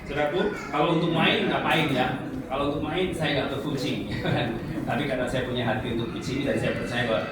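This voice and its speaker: A man's voice: medium pitch, fairly even intonation, brisk, over a noisy crowd. A man from Central Java preaching a personal, conversational sermon, often putting rhetorical questions to his listeners. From Central Java, Indonesia. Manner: conversational, asks the congregation rhetorical questions and tag questions. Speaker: evangelist, guest preacher. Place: large echoey room before a congregation. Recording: echoey hall, distant mic, audience present.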